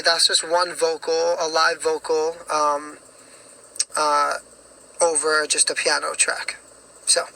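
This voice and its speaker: Young male singer, raspy and hoarse, bright high voice, loose, filler-heavy livestream chat. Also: a little hiss or hum, poor audio. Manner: rambling, with many fillers and self-corrections.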